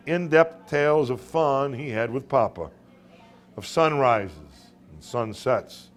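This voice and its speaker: A man reading his own short story to a live room as a dramatic storyteller, with deliberate pauses and occasional stumbles. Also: medium pitch. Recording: live room recording with background noise.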